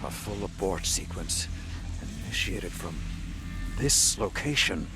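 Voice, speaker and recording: A man playing a stern, indignant military leader in a video game, forceful and deliberate. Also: sits low, animated. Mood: angry, shocked. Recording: game music behind.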